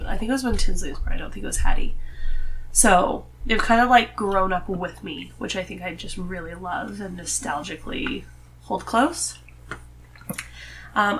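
A woman with a mid-pitched voice chats casually as a podcast guest. There is some faint noise behind her voice. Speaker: podcast guest, host's wife. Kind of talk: casual chat.

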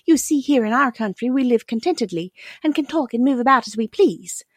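A woman's voice: a little high, expressive, fairly quick, no echo, clean studio sound, pitched above the performer's own voice. A woman voicing a fragile young china doll princess with a small, prim, proper voice in a storybook reading. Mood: gentle, earnest. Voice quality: small, frightened little voice.

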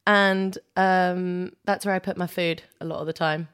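Smooth-voiced young Englishwoman, rambling. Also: lowish voice, steady tone, unhurried, fairly bright tone. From England, London-born, raised partly in Kosovo. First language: Albanian. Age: 20s. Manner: rambling, hesitant, heavy on "like" and "you know". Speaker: guest. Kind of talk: casual chat.

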